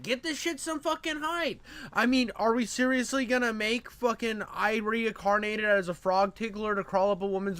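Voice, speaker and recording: A man's voice: sits high, a bit bright, dry recording. A man giving casual, profane reaction commentary in a crude, slangy, rambling way. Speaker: reaction video host.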